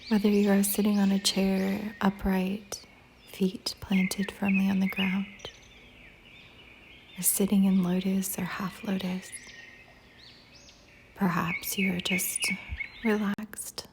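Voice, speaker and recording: A woman guiding a meditation in a soft, breathy near-whisper, calm and soothing, with long pauses between phrases. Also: mid-range voice, slight background noise. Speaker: meditation guide. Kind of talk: guided meditation. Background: soft music.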